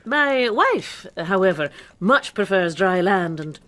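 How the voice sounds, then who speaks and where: A woman's voice: medium pitch, big pitch swings, slow pace, fairly bright tone. A woman acting in a period radio drama as a pompous, boastful impostor who passes herself off as a male doctor. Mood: blustering, flustered.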